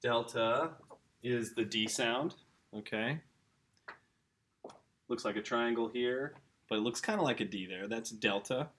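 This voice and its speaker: A middle-aged man teaching a casual video lesson, relaxed and chatty, correcting himself as he writes on a board. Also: medium pitch, measured pace, pauses often, slight background noise.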